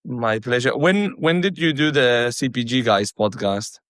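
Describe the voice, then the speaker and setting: A man's voice: medium pitch, expressive, measured pace, fairly bright tone, dry recording, studio quality. A man from Catalonia with a Catalan accent, hosting a podcast in a chatty, friendly way and asking open questions. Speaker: podcast host. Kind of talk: casual interview chat.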